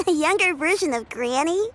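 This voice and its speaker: A woman's voice: very high voice, slight background noise, heavily acted. A woman playing a sweet, brave young child in a video game, polite and earnest in manner. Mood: curious, polite. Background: soft background music.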